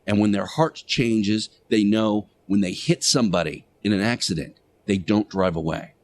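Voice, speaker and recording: An earnest religious teacher played by a man delivers a radio sermon in a fairly low voice. He is preachy and admonishing, leaning on key words with deliberate pauses and repetition. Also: slight background noise, close to an everyday voice. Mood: grave, insistent. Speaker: radio show host. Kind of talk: radio monologue, moral sermon.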